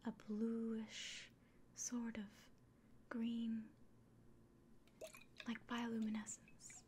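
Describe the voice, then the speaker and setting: A woman voicing a kindly, earnest elven innkeeper in a gentle, breathy, unhurried whisper for an ASMR fantasy roleplay. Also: a little high, slight background noise.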